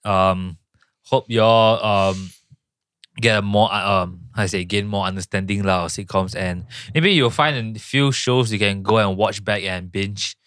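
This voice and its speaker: A young man with a Malaysian English accent speaks in a fairly low, relaxed voice, agreeable and a little hesitant. His voice sounds dry and close to the mic. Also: unhurried, smooth, no echo. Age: young adult. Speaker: podcast co-host. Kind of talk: casual chat.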